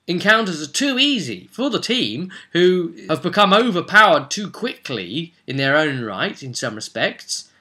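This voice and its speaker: A man's voice: fairly high pitch, pushed and bright. A man giving an informal, explanatory tutorial in an emphatic, opinionated, conversational way. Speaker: host of a tabletop role-play tutorial series. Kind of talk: informal explanatory monologue with read-out rules.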